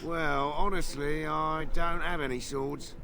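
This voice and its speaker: Wary scavenger, gravelly creak, slightly high pitch, grudging, fantasy game, crowd noise behind. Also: male voice, fairly even intonation, slow pace. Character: shifty, defensive male battlefield scavenger. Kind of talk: video game acting.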